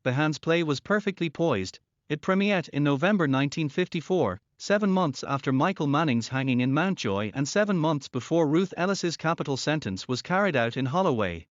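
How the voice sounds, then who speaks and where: A man with a slightly high voice delivers scripted narration as an announcer. The recording is very dry and a little dull. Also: lively intonation, brisk, few pauses, no echo.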